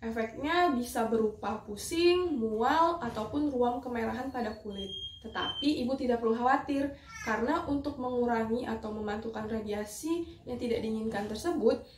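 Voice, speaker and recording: A young woman with a fairly high voice presents a rehearsed demonstration, politely and step by step. She sounds a little far from the mic in a very echoey room, with faint noise behind her. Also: moderate pace. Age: young adult. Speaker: dental student operator giving a skills demonstration. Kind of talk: rehearsed instructional demonstration with patient role-play.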